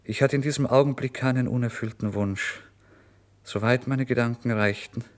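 A man acting a starving, feverish, proud narrator near madness in a dramatic radio play monologue, intense and theatrical, swinging between hush and outcry. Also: medium pitch, fairly even intonation, normal speed, close to an everyday voice. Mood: ecstatic relief.

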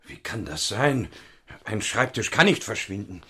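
A man's voice: lowish voice, very animated, measured pace, sharp tone, echoey room, heavily acted. An actor playing an agitated, middle-aged French schoolteacher and stern, money-minded father in a radio play. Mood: upset, bewildered.

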